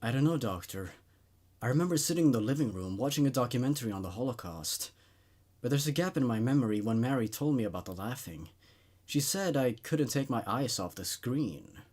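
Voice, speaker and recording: A man's voice: mid-range voice, expressive, brisk, a little mellow, slightly rough. A man voicing a troubled, nervous male patient in his twenties in a horror story, hesitant and uneasy. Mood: defensive, uneasy.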